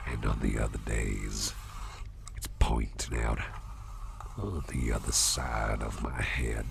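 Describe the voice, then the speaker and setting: A man playing a gentle, doting businessman boss in an ASMR roleplay, murmuring soothingly in a gravelly, breathy half-whisper. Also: a bass voice, level tone, takes it slowly, noisy background, heavily acted. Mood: musing, bemused. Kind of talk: ASMR roleplay, personal attention.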